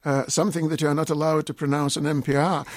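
An older man, a native Russian speaker with a Russian accent, talking deliberately and hesitantly as an expert guest in a radio interview. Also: fairly high pitch, little pitch movement, no room sound, clean studio sound.

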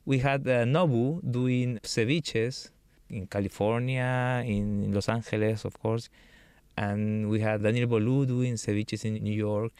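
A Peruvian man, a chef, answering interview questions in a relaxed way, thinking aloud with many fillers. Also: medium pitch, unhurried, clean tone, dry recording. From Peru. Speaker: chef and cookbook author.

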